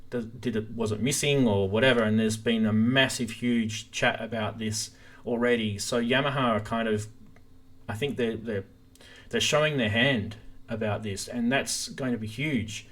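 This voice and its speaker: A man's voice: medium pitch, steady tone, moderate pace, fairly bright tone. A man hosting a casual live stream about gear, talking informally and enthusiastically straight to his viewers.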